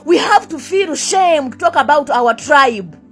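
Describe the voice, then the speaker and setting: A high-voiced young Ugandan woman with a Ugandan accent rants straight to camera. She hammers the same questions again and again, mixes two languages and curses at her critics. Faint music plays underneath. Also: expressive, a little slow, fairly bright tone. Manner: heated, repeats rhetorical questions, switches between two languages; swears and sneers at critics. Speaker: vlogger. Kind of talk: angry rant to camera.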